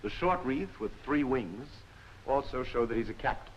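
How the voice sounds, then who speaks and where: A man's voice: medium pitch, animated, normal speed, close to an everyday voice. Muffled 1940s film sound, man acting as army captain instructor, firm, precise, no-nonsense, light hiss. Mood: matter-of-fact. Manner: firm, matter-of-fact, precise. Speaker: military instructor. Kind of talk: 1940s training film lecture. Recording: dull, hissy old film soundtrack.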